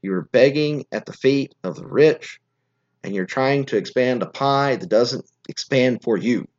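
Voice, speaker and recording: A man hosting a political podcast, his voice hoarse and scratchy, talking in an opinionated, rambling way with frequent restarts. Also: mid-range voice, unhurried, dull audio. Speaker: podcast host. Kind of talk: political commentary monologue.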